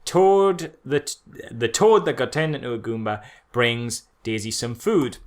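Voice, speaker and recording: A man's voice: mid-range voice, unhurried. A man co-hosting a casual podcast, reviewing a film with a co-host, laughing often and firing incredulous questions.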